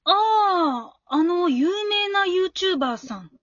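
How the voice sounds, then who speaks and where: An amateur actress playing a friendly, encouraging female fan who talks politely and gives gentle advice in a radio drama. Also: extremely high pitch, expressive, takes it slowly, no room sound, dull audio, full character voice. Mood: admiring. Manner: polite, supportive, gently advising.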